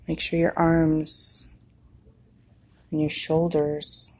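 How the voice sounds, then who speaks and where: A woman guides a meditation in a low, husky voice with a gravelly creak at the ends of phrases. She speaks very slowly and soothingly, leaving long pauses between short instructions. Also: monotone.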